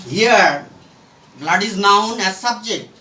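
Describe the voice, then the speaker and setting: A man teaching a grammar lesson at a board, speaking in deliberate, halting, emphatic phrases, recorded from a distance in an echoey room. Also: high-pitched, lively intonation, sharp tone. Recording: roomy echo, distant mic, faint noise.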